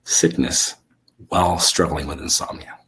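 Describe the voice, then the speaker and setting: A man with a gravelly, congested voice, talking as a sleep coach in a relaxed, reflective way with fillers and restarts. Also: deep voice, measured pace, poor audio. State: sick, congested with sore throat. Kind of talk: solo talk to camera.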